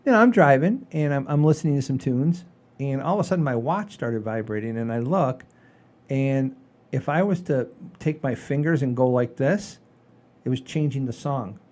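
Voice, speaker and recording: A middle-aged or older man hosting a comedy show, telling stories casually in a rambling, animated way with salty language. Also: medium pitch, moderate pace. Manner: rambling, animated storyteller with frequent swearing. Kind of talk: casual chat, storytelling.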